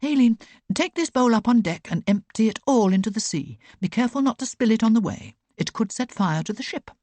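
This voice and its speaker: A woman doing a brisk, stern aunt. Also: a little high, expressive, dark tone, no room sound, pitched above the performer's own voice. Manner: crisp and commanding. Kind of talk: audiobook character voice. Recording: dry close recording.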